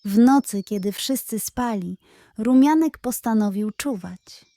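A woman narrating a children's bedtime audio story in a calm, measured, gentle way. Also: fairly high pitch, no echo.

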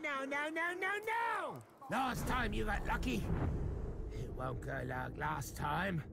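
A man's voice: high-pitched, very animated, takes it slowly, very noisy, exaggerated voice. A man voicing a shamed, vengeful orc warrior in a video game, snarling and wailing through a tormented rant. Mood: anguished panic. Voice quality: gravelly, snarling. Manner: ranting, wailing, menacing. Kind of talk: video game character acting. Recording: loud music behind the voice. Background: loud game music.

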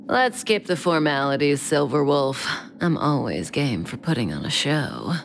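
A sultry anime game villainess with a low voice and lilting swings of pitch. She is coy and self-assured, with faint noise behind. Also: sing-song, unhurried, creaky, exaggerated voice. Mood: sultry, teasing.

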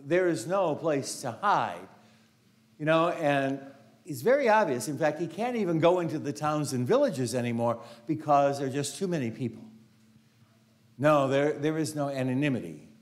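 Older man, priest, chatty homily, easy laugh, lapel mic, big church. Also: a little high. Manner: chatty storytelling, frequent "you know", laughs easily. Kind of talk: conversational homily. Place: large carpeted church sanctuary. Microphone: lapel mic, walking in front of altar.

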